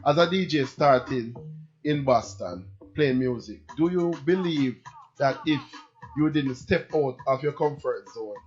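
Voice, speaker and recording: A man's voice: medium pitch, unhurried, muffled recording, soft background music. A man hosting an interview, asking long, rambling questions with enthusiasm. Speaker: interview host. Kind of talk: casual interview.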